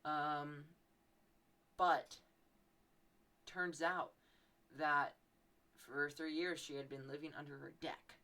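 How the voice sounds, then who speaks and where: A man's voice: on the high side, pushed and bright. A young man hosting a podcast, telling a story casually in a rambling, playfully exaggerated way. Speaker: podcast host.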